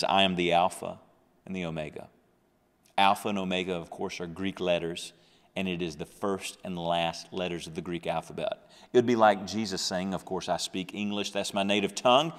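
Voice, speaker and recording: A man's voice: deep voice, big-room sound. A man in his thirties teaching the Bible on a podcast, earnest, reading verses with swelling emphasis, at a close desk microphone in a small studio. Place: small studio with a dark backdrop. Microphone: large desk microphone close up.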